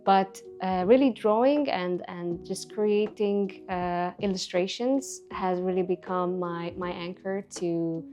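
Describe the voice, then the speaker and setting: A young adult woman from Hungary, speaking English as an in a relaxed interview, soft-spoken and reflective, pausing and restarting often. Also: fairly low pitch, faint music behind. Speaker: artist guest. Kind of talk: casual interview. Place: Budapest.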